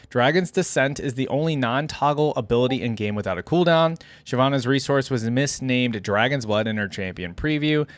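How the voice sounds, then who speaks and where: A man's voice: medium pitch, no echo. A man giving casual reaction commentary as a video host, musing aloud in a rambling way.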